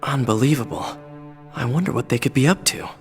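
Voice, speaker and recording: A man playing a steady, protective police teammate in a video game, speaking in a grave, reassuring way. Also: medium pitch, brisk, gravelly, over music. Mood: grave, determined. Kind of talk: video game cutscene acting.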